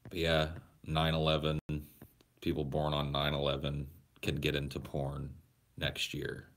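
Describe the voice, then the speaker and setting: Low-voiced man rambling to his stream. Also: monotone, unhurried, a bit bright. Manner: rambling, crude, answers chat questions aloud. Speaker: livestream host. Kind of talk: casual livestream chat with viewers. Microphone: portable camera or phone carried around.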